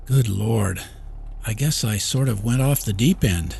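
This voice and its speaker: Man acting a desperate, rattled store owner, gravelly. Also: on the low side, unhurried, pitched above the performer's own voice, exaggerated voice. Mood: nervous, placating. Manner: frantic shouting, pleading, later shaky and weary. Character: panicked, desperate small-town store owner, adult man. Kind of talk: audiobook character acting.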